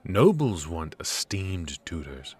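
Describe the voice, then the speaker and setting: A man's voice: deep voice, sing-song, slow, slightly rough. A man playing a cold, stern royal magic professor. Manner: stern and clipped; dry, condescending remarks. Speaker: voice actor in an ASMR roleplay. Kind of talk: ASMR roleplay.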